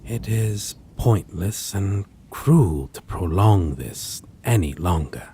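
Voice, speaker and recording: A man playing a possessive, menacing vampire in a dramatic audio roleplay, speaking in a theatrical, condescending manner with a husky, breathy voice. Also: deep voice, expressive, dark tone, a little hiss or hum, full character voice. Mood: cold, solemn. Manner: slow, theatrical, archaic wording, condescending endearments. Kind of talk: dramatic audio roleplay acting addressed to listener.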